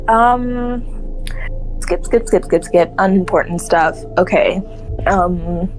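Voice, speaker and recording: A woman's voice: medium pitch, poor audio. Young woman rambling through a casual story. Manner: rambling, animated retelling with acted-out dialogue and swearing. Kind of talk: casual spoken movie recap to friends.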